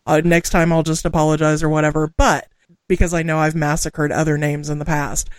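A woman from Southwest Missouri with a local accent, co-hosting a podcast, chatting casually, laughing often and rushing through lists of names. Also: low-pitched, flat delivery, no echo. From Southwest Missouri, USA. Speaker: podcast co-host. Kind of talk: casual chat and reading listener names.

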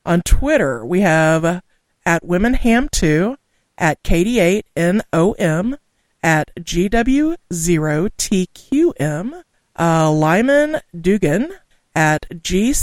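A woman from Southwest Missouri with a local accent, co-hosting a podcast, chatting casually, laughing often and rushing through lists of names. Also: lowish voice, dry recording. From Southwest Missouri, USA. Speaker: podcast co-host. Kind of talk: casual chat and reading listener names.

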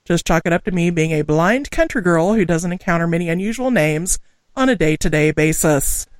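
A woman from Southwest Missouri with a local accent, co-hosting a podcast, chatting casually, laughing often and rushing through lists of names. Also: lowish voice, lively intonation, no echo. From Southwest Missouri, USA. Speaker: podcast co-host. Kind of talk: casual chat and reading listener names.

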